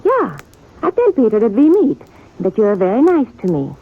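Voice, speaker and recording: Husky bride, lively old-radio voice. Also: female voice, a little high, moderate pace, full character voice. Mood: pleading. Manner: broken English, emphatic and plain-spoken. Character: earnest, stubborn, trusting immigrant mail-order bride. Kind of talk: 1950s Western radio drama acting. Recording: thin, muffled vintage radio recording.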